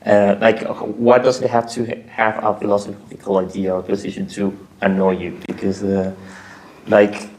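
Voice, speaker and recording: A man hosting a podcast, asking relaxed, loosely worded questions filled with "like". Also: fairly low pitch, moderate pace, low-quality recording. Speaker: podcast host. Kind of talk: casual interview questions.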